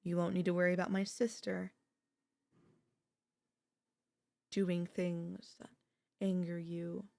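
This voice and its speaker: A woman playing an obsessive, possessive sister-in-law in an intimate ASMR audio roleplay, coolly confessing her love with deliberate pauses. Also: fairly low pitch, flat delivery, gravelly, warm tone. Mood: soft, scornful. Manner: slow, deliberate, with long pauses.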